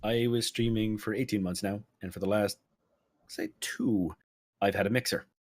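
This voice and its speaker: A man's voice: fairly low pitch, normal speed, dry recording, clean studio sound. A man, a guest streamer, chatting emphatically on a podcast through a budget desk mic. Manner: lively, storytelling, emphatic. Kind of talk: casual podcast chat. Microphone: budget desk mic through a mixer.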